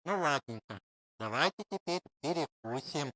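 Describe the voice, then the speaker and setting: A woman's voice: sits low, animated, normal speed, a bit bright, no room sound. A woman voicing a chatty, encouraging mother pig in a children's cartoon story, with a motherly, exclaiming manner. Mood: teasing.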